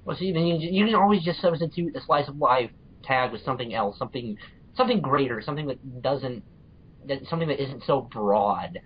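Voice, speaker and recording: A man chatting casually as a podcast guest, giving blunt opinions in a halting, repetitive way. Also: mid-range voice, expressive, husky. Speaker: podcast guest, fan fiction reviewer. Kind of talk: casual chat. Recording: dull lo-fi voice recording.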